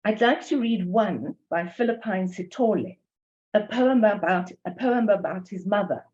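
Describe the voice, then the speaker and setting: A woman's voice: mid-range voice, animated, moderate pace, darkish tone. An older woman with a South African English accent giving an academic lecture, reading carefully from a prepared text and reading poems aloud. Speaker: academic presenter. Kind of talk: lecture presentation with poetry reading. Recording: dull lo-fi recording.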